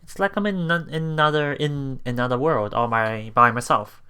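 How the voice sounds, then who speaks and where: A man doing a let's play, reading story narration aloud with casual commentary, halting and chuckling as he goes, with game music behind him. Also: mid-range voice, steady tone, sharp tone, clear, resonant tone. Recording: voice over game background music.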